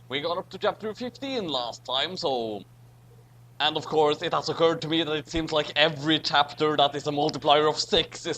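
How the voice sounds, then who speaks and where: A man's voice: on the high side, a bit bright, a little hiss or hum. A man hosting a casual group read-aloud, commenting and stumbling over the words as he reads garbled text. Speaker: host of a group fan-fiction reading. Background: background music.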